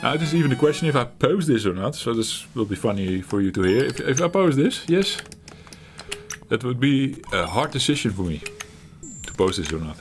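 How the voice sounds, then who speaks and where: A middle-aged man hosting his retro gaming channel, talking while he plays in a halting, reflective way, searching for words and laughing at himself. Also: medium pitch, big pitch swings, faint music behind. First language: Dutch. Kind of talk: reflective gameplay commentary.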